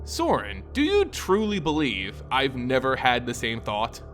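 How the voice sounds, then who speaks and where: Man voicing a lofty, calm old vampire aristocrat. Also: medium pitch, voice pushed down. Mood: probing, knowing. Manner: measured, dismissive, lofty. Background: background music.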